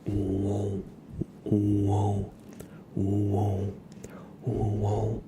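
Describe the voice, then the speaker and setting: A man hosting an ASMR livestream, speaking in a breathy whisper and soft murmur, soothingly repeating words to viewers at a close microphone. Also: on the low side, monotone, extremely slow, heavy background noise. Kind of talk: ASMR, slow whispered roleplay and chat to viewers.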